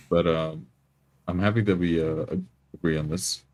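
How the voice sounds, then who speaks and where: A man with a low-pitched voice chats as a tabletop player. He phrases things carefully and somewhat tentatively, in an informal conversational style. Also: fairly even intonation, a little slow, a little mellow. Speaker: tabletop role-playing player. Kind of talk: casual chat.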